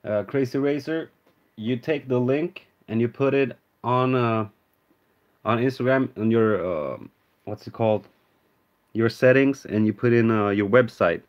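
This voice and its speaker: A man's voice: mid-range voice, a little slow, muffled recording. A man from Sweden with a Swedish accent tinged with American, casually chatting on a live stream, greeting viewers and naming cards as he opens them. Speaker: card-opening streamer. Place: indoor room with card display.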